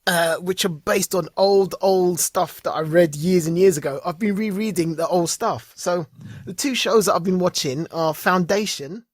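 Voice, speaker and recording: A man with a high, slightly husky voice co-hosts a podcast, talking in an excitable, rambling way and laughing a lot. The sound is close and dry, with no room around it. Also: normal speed, no echo. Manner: excitable, laughs often, repeats words. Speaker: podcast co-host. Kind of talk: casual chat.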